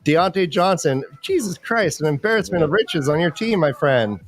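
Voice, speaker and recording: A man with a slightly high voice co-hosts a casual livestream. He banters about fantasy football in a playfully boastful way and tends to repeat his phrases. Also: animated, moderate pace. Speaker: livestream co-host.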